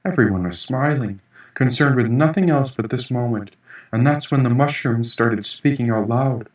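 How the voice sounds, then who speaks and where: A man reading first-person fiction as an audiobook narrator, in an expressive, dramatic storytelling manner. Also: mid-range voice, normal speed. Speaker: author reading own audiobook. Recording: echoey room, distant mic.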